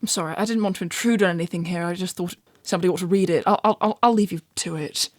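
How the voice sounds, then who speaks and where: A woman's voice: fairly low pitch, fast talker, dry recording, clean studio sound, close to an everyday voice. A woman voicing a sly, flirtatious, confident female bat thief in a fan cartoon dub, with a teasing, casual drawl.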